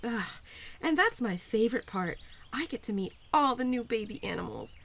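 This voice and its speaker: A woman playing a cheerful, playful, nurturing young spirit of spring in an audio roleplay, affectionate and gently teasing as she speaks to the listener. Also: fairly high pitch, expressive, normal speed, creaky. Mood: gushing, wistful.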